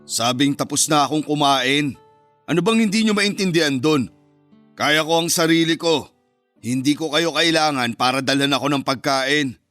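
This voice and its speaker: A man's voice: a little high, normal speed, a bit bright, dry recording, lightly acted. An actor playing a cold, resentful middle-aged father in a Filipino radio drama, speaking in a curt, scornful, accusing way. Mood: curt, dismissive. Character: cold, resentful, harsh father of a grown son. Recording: music bed behind the voice.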